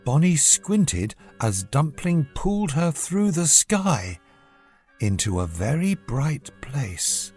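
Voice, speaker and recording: A narrator reads a scripted bedtime story for children. The delivery is slow and measured but very expressive in tune, with music playing behind. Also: mid-range voice, husky.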